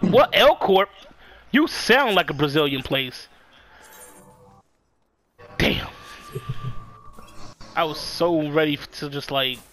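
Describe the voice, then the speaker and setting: A young man chatting casually with a friend while playing a game, loud, excitable and swearing often. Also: on the high side. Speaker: gamer streaming his play. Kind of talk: casual gaming chat with a friend.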